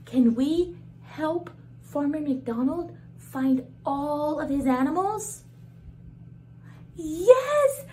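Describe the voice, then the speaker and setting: Woman teaching toddlers, high voice, lively, very slow and drawn out, faint hum behind. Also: low-quality recording. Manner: animated, exaggerated gasps and sing-song questions to children. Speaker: children's teacher. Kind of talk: toddler learning video.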